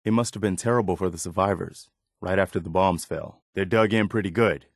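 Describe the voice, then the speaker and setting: An actor playing an earnest, steadfast militia officer in a post-apocalyptic video game, speaking plainly and in a measured way with an American accent. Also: male voice, sits low, vocal fry, no room sound, studio quality. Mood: somber, sympathetic. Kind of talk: video game companion voice lines.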